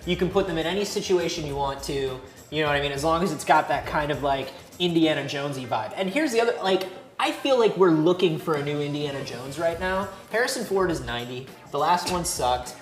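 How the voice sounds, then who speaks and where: A woman's voice: low-pitched, lively intonation, fairly quick, hardly any pauses. A woman chatting casually as a panelist, giving opinions in a blunt, emphatic way. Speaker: panelist on a video game talk show. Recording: roomy sound with music behind.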